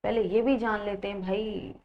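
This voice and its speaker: A woman's voice: mid-range voice, steady tone, moderate pace, muffled recording. A woman teaching an exam-prep grammar lesson in an instructional way, asking students questions and repeating key points. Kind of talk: Hindi grammar lesson, explaining quiz questions.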